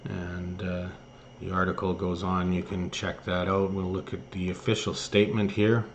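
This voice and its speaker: A man hosting an online news commentary, reading articles aloud and remarking on them somewhat haltingly. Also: sits low, completely flat delivery. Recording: noticeable background noise.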